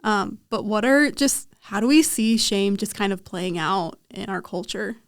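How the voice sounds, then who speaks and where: A woman's voice: medium pitch, expressive, measured pace, no room sound. A woman hosting a podcast in a casual, conversational way, speaking close to the mic. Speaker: podcast host.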